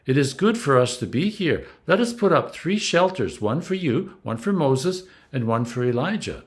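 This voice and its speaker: A man's voice: mid-range voice, big pitch swings, moderate pace, voice pushed up, natural voice. A man voicing an eager, earnest disciple in a scripture story.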